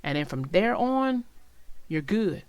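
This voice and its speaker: A woman narrating for young children in an expressive, reassuring way, as if reading aloud and talking directly to them. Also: medium pitch, slow pace, smooth, no echo. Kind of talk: read-aloud storytelling and advice to young listeners.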